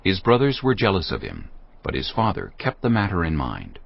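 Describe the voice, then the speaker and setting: A man narrating scripture in a measured, expressive dramatic style. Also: low-pitched, vocal fry. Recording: dull audio over background music.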